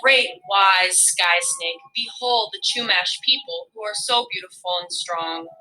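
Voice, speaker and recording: A woman voicing a loving but easily exasperated earth goddess in a legend, declaiming slowly and grandly. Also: medium pitch, very animated, vocal fry, pushed and bright, exaggerated voice, low-quality recording. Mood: solemn, grand. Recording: music behind the voice.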